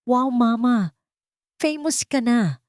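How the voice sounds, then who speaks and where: A woman's voice: a little high, expressive, slow, darkish tone, dry recording, studio quality, pitched above the performer's own voice. A woman narrator voicing an innocent, eager small child in a story. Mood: excited. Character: sweet small girl or boy, child of a café owner.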